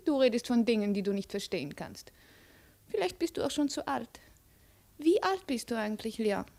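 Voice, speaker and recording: A high, imperious voice for a proud and mocking princess. She speaks with deliberate, cold authority in the theatrical manner of 1950s radio drama. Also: sing-song, creaky, a little mellow, exaggerated voice. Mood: haughty, mocking. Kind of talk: 1950s German radio play acting.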